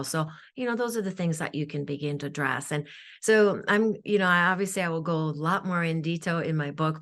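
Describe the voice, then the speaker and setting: A woman's voice: low-pitched, fairly bright tone. A woman with a Japanese accent, a native Japanese speaker, explaining health practices as an interview guest in an earnest, flowing way, often repeating a word as she restarts. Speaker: guest, functional nutritional therapist.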